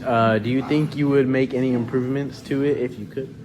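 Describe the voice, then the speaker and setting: A man's voice: medium pitch, fairly even intonation, a little fast. A man asking interview questions hesitantly. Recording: clearly noisy recording.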